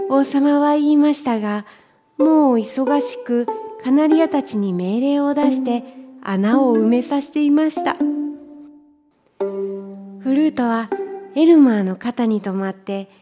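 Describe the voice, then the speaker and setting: A woman's voice: fairly high pitch, measured pace, music in the background. A woman reading a children's story aloud as scripted narration. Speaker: storybook reader.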